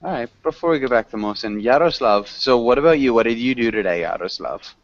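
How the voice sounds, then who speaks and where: A man teaching a conversational online group class, encouraging and gently correcting learners. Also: medium pitch, lively intonation, fast, no echo. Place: group video call. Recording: muffled, lo-fi online call audio.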